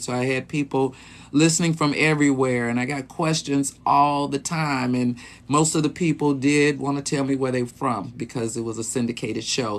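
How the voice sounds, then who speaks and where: A man in his late fifties who hosts a live stream as a sexologist, chatting casually with viewers in an easygoing, rambling way with lots of ums. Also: medium pitch. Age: 50s. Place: small bedroom closet.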